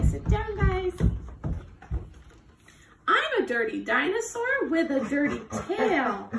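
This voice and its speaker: A woman in her thirties reading a picture book aloud to children like a teacher, encouraging them to join in, recorded on a phone in a living room. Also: very high-pitched. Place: living room at home, indoors. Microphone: phone camera from a few steps away. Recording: roomy echo, distant phone mic, background noise.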